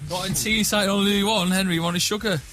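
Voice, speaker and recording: A man's voice: sits high, steady tone, fast. A man in casual, bantering chat as a guest in a radio studio. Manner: informal, bantering. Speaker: band member guest. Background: music.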